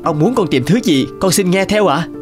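An actor playing a poor, humble young suitor in an animated folk tale, respectful and earnest. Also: male voice, high voice, normal speed, music in the background. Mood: humble, pleading. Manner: respectful, pleading, gentle.

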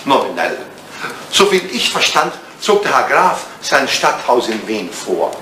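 An actor playing an elderly, devoted English butler in a 1980s German radio drama, speaking formally and deferentially with dry understatement. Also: male voice, medium pitch, big pitch swings, measured pace, pushed and bright. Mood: grave, narrating. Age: older. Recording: roomy, noisy old radio play recording.

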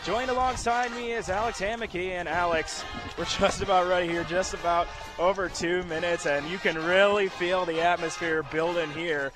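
High-pitched man calling football play-by-play. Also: moderate pace, music in the background. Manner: excitable, builds from steady calls to shouts. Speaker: radio play-by-play football commentator. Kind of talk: live sports radio play-by-play commentary. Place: outdoor football stadium. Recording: noisy live outdoor stadium broadcast.

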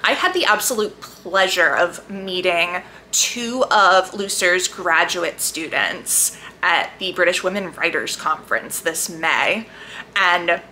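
A woman with a bright, forward voice talks casually as a book vlogger. Her delivery is animated and emphatic, with a gushing, chatty feel. There is some faint noise behind her. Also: lowish voice, slow pace, rough recording.

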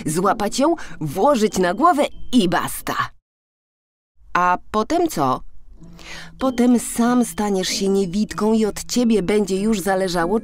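A woman narrating a children's fairy tale in an expressive, dramatic storytelling manner. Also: mid-range voice. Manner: animated, dramatic storytelling delivery. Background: background music.

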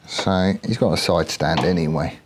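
A man with an English accent giving casual, off-the-cuff workshop commentary as a motorcycle builder, chatty and colloquial, thinking out loud. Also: low-pitched, fairly even intonation, moderate pace, noisy background.

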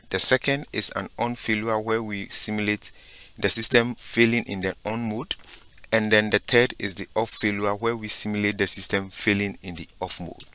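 A man giving a step-by-step software tutorial, narrating his screen and often saying "okay" and "so basically". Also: lowish voice, clean tone, no room sound. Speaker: instructor.

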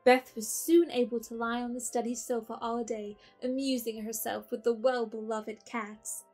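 A woman narrating a classic storybook in a measured way. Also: a little high, level tone, darkish tone, soft background music.

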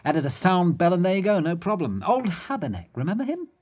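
An animated, boastful eccentric inventor. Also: male voice, high voice, a little fast, husky, heavily acted. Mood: boastful, indignant. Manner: animated, bragging, rambling. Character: boastful, eccentric old male Belgian instrument inventor. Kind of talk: radio drama acting.

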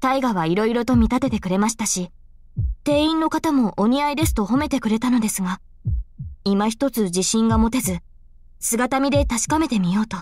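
A woman voicing an earnest young female knight in a visual novel, speaking politely and formally with hesitant pauses. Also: fairly high pitch, expressive, darkish tone, no room sound, studio quality. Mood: bashful, hesitant.

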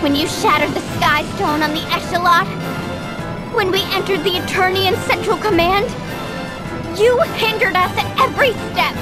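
An actress voicing a defiant, headstrong young woman in a video game, forceful and indignant. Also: extremely high pitch, moderate pace, over loud music. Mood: exasperated. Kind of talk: dramatic video game voice acting.

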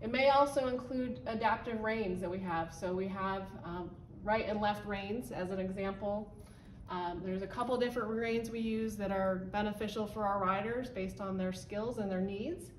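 A woman's voice: medium pitch, fairly even intonation, moderate pace, a little hiss or hum. A woman in her thirties explaining a board to volunteers in a barn office, pointing as she talks, with frequent ums. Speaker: volunteer trainer. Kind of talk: instructional walkthrough. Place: indoor barn office with a wood-paneled wall. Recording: roomy, slightly distant sound with faint ambience.

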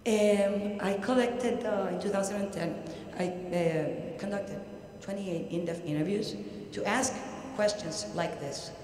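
A middle-aged woman with a Puerto Rican accent giving an academic lecture at a podium, halting but emphatic. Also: medium pitch, a little mellow. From Puerto Rico. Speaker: researcher presenting at an academic conference. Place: large indoor university hall, at a podium. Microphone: podium microphone. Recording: very echoey hall, audible background noise.

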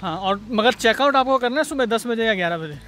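A man's voice: extremely high pitch, lively intonation, fairly quick, pushed and bright. A man, chatting casually as a travel vlogger while walking a forest trail and filming himself on a handheld phone. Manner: chatty, explains as he walks. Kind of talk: casual travel vlog narration. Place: outdoors on a forest canyon trail. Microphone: handheld phone at arm's length.

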